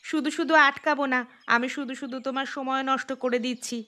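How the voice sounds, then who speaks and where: A woman's voice: sits high, steady tone, fast talker, pushed and bright. A woman playing a friendly, chatty village wife in a cartoon. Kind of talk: Bengali cartoon dialogue. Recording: music behind the voice.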